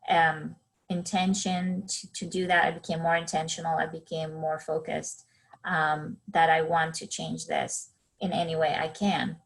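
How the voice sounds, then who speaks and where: Woman, young adult, from Palestine, slightly low voice, keynote speaker, personal story, earnest, many ums. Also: a bit bright, low-quality recording. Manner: earnest, with frequent um and restarts. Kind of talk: personal storytelling talk on a webinar.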